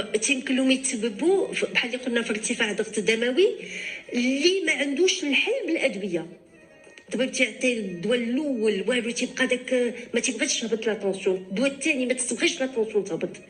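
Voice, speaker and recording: Moroccan woman, doctor on a call-in show, quick, slightly high voice, switches to french a lot. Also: lively intonation, soft background music. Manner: fast Darija mixed with French, many restarts. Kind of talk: radio call-in advice.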